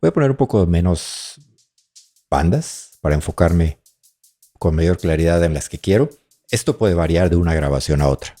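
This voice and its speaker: Male tutorial instructor, deep voice, informal and explanatory, thinking aloud, instrument tracks clearly heard alongside. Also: lively intonation, moderate pace, warm tone, soft background music. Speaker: audio mixing tutorial instructor. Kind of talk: informal spoken software tutorial. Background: drum and bass tracks playing during demonstration.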